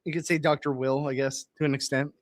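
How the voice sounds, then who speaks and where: A man hosting a late-night live stream, chatting casually with viewers, reading their comments aloud and rambling on with restarts, his voice going hoarse. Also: on the high side, level tone, quick pace, no room sound. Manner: rambling, reads chat aloud, restarts words.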